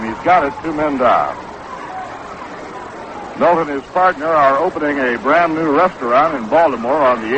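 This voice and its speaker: An American man calling baseball play-by-play in the style of 1960s radio sports commentary. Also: a little high. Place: stadium broadcast booth. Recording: dull, noisy 1960s AM radio broadcast.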